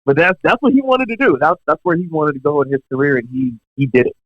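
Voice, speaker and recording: A young man, a professional drummer, telling career stories in a relaxed, chatty way with lots of fillers and asides. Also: a little high, fast talker, no echo. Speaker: professional touring drummer, podcast guest. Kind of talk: casual storytelling in an interview. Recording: dull, dry lo-fi podcast audio.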